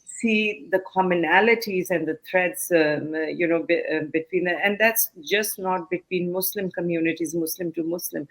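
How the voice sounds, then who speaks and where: A woman from Canada, a chief executive on a panel, speaking haltingly with many fillers. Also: on the low side, poor audio. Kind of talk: panel discussion.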